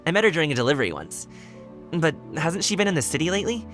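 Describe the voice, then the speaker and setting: A man's voice: fairly high pitch, very animated, racing, a bit bright, soft background music. A man playing a friendly young wushu dancer in an anime game, speaking in a breezy, sincere way. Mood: upbeat.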